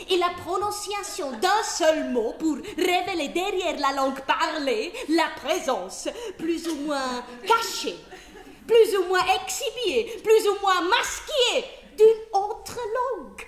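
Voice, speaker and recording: A woman's voice: extremely high pitch, slight background noise. A woman with a foreign accent, performing a playful personal monologue on a theatre stage and laughing through her words. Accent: foreign accent in French. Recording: echoey theatre hall.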